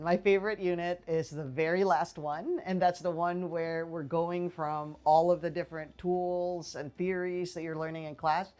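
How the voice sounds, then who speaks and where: A woman's voice: low voice, darkish tone. A woman in her forties from the Philippines, a political science professor answering interview questions in a small office on a lapel mic, thoughtful and full of fillers and restarts. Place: small office with bookshelves, indoors.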